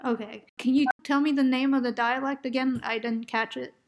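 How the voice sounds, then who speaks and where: A woman with a somewhat high voice interviews a guest. She asks short questions and gives brief encouraging replies. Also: steady tone, normal speed.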